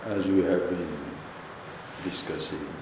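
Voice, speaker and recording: An Indian male spiritual teacher guides a meditation at a very slow pace. His phrases are short and deliberate, with space between them. The recording is heavily echoing, with clear background noise. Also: sits low, steady tone. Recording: echoey hall, long reverb, background noise.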